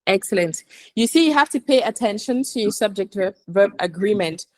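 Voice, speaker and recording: A woman's voice: mid-range voice, lively intonation, fairly quick, no room sound, rough recording. A woman working as an English teacher in a one-to-one lesson, instructing, correcting and enunciating words for repetition. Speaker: English teacher coaching aviation English.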